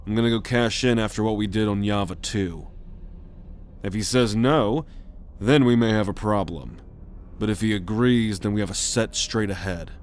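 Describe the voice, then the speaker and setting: A man acting a roguish, protective alien scout captain in a sci-fi ASMR roleplay, measured and authoritative as he gives orders. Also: lowish voice, slight background noise, close to an everyday voice. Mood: gruff, resolute.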